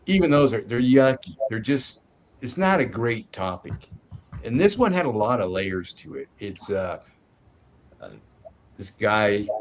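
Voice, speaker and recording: A man giving a casual movie review as a show host, haltingly searching for his words. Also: deep voice, a little hiss or hum.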